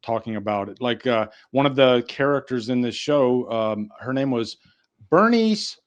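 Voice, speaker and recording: A man's voice: medium pitch, expressive, normal speed. Man hosting a casual podcast chat. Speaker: podcast host.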